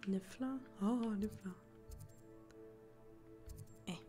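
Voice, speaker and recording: A woman's voice: mid-range voice, drawn-out words, warm tone, faint music behind. A woman giving casual gameplay commentary, musing as if thinking aloud to herself. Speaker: let's play gamer.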